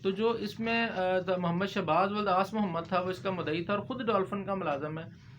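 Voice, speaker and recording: Man, Pakistani accent, high-pitched voice, informal legal explainer, English terms mixed in, faint background noise. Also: fairly quick, a bit bright, highs cut off. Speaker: lawyer presenting a legal explainer.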